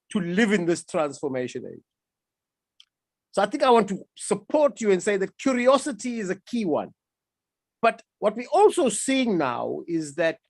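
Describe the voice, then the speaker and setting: A woman hosting an online discussion, speaking in a measured, formal way and inviting others to join in. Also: mid-range voice. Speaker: discussion host. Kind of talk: webinar moderating.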